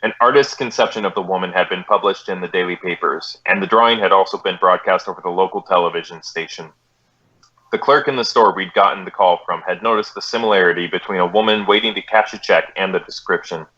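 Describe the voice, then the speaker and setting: An American man playing a terse, businesslike police detective, narrating a radio crime drama in clipped, deadpan, matter-of-fact phrases. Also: deep voice, quick pace. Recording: dull vintage radio drama recording.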